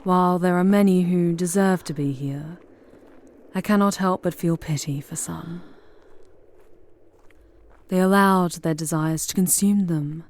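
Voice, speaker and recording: A woman playing a charming, seductive demon guide through hell in an intimate ASMR roleplay, with theatrical, formal phrasing and breathy delivery that often drops into whispers. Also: fairly low pitch, a little slow, long pauses, a little mellow. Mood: sultry, musing. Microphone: close ASMR microphone.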